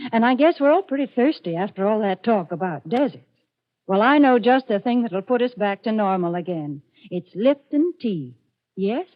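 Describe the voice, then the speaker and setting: A woman giving a 1940s radio sponsor pitch in a chatty, folksy, emphatic way. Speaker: sponsor announcer. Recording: muffled 1940s radio broadcast.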